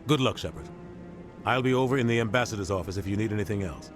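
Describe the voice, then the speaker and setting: A man's voice: fairly low pitch, quick pace, over music, close to an everyday voice. A man playing a grave, wary military captain in a video game, blunt and terse, with weighty emphasis. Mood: dismissive, wary.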